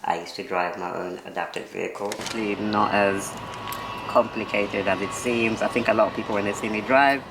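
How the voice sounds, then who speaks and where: A man with a very low voice and a gravelly, fry-heavy edge talks easily through viewer questions. His delivery is relaxed and conversational, full of fillers. The room has an echo. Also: moderate pace, background noise. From Kenya. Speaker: co-host. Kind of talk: casual chat answering viewer questions.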